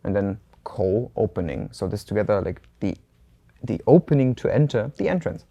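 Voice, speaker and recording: Woman, slight german accent, super low dark voice, calm translating. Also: moderate pace, slightly rough, muffled recording. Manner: thoughtful, translates back calmly. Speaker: co-host learner. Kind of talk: casual lesson dialogue.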